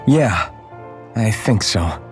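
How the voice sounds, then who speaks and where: Resolute young swordsman, even mid voice, loud music bed. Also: fairly low pitch, drawn-out words. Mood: earnest. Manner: earnest and resolute. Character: determined young male swordsman hero. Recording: game audio over loud music.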